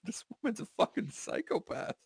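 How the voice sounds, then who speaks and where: A man's voice: fairly quick, dry recording, clean studio sound. An American man hosting a podcast, giving a comic dramatic reading of a story and breaking off into casual asides.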